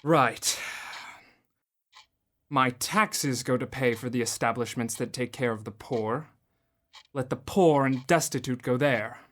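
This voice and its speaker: A man's voice: medium pitch, slow pace, pauses often, a bit bright. A man playing a stingy, grumbling old male miser in a student radio drama, curt and scornful, barking orders.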